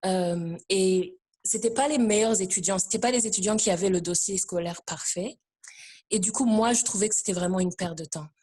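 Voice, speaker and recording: A woman taking part in a discussion, giving informal personal testimony and pausing often to find her words. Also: medium pitch, darkish tone, husky. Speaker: discussion participant, immigrant services worker.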